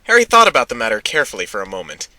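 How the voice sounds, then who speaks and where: A man's voice: medium pitch, wildly swooping pitch, rapid-fire, sharp tone, no echo. A man narrating an amateur dramatic reading of fan fiction, with casual asides.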